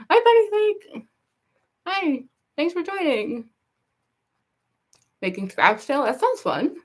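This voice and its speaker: A young woman with a gruff, raspy voice and a goofy laugh, chatting casually with viewers on a livestream, rambling with lots of fillers. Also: high-pitched, big pitch swings, slow, pauses often. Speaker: cosplay livestreamer.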